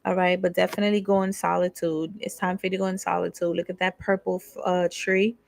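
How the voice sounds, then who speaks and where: Low, gravelly-voiced woman tarot reader. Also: flat delivery, fast talker, dry recording. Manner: candid, slangy, reads card text aloud then riffs. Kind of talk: casual channeled tarot reading.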